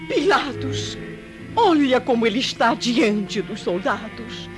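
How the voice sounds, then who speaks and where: Slow, pleading Roman noblewoman. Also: on the high side, lively intonation, music in the background. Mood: anxious pleading. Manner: solemn, imploring. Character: anxious pleading wife of a Roman governor. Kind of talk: old-fashioned radio drama acting.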